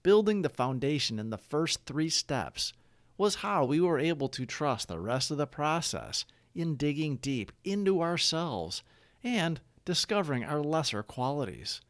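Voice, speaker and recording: A man reads scripted reflections in a medium-pitched voice that moves with lively intonation. His phrasing is measured and deliberate, broken into short clauses. The sound is close and dry, as in a read-along narration. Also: no echo. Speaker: narrator of daily recovery readings.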